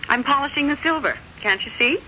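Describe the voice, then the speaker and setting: A sharp, forceful voice for a house-obsessed American housewife. She is high and animated in pitch, prim and quick to scold. It is old radio acting on a dull vintage recording. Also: a little hiss or hum. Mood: impatient. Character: Laura, tense, fussy housewife obsessed with her house.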